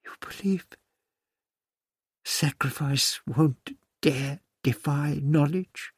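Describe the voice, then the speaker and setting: Guy doing an upset earnest woman in a story, pleading, higher pitched, breathy. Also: extremely slow, no room sound, studio quality, full character voice. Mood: doubtful, anxious. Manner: pleading, emotional delivery. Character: anguished, earnest woman, soft and vulnerable.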